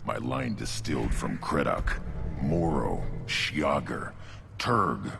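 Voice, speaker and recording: An actor playing a young, proud alien warrior in a video game, with a gravelly growl and a terse, solemn manner. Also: male voice, a bass voice, wildly swooping pitch, slow pace, full character voice. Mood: solemn pride. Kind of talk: video game cutscene acting.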